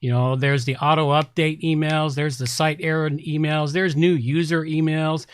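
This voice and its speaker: A man's voice: on the high side, level tone, normal speed, clear, resonant tone. A man hosting a podcast, talking casually and informally through reviews with chatty asides. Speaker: podcast host.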